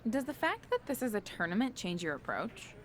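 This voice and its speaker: An actress playing a friendly, slightly awkward backstage interviewer in a video game, casual and candid. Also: female voice, medium pitch, sing-song, a little fast, slight background noise, close to an everyday voice. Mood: curious. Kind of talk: video game cutscene acting.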